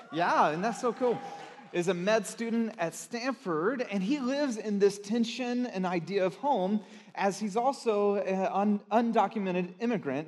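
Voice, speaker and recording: Male pastor, noticeably high pitch, casual address to a congregation in a big echoing room. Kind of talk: informal church address to congregation. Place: large church room, live address. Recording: long hall reverb.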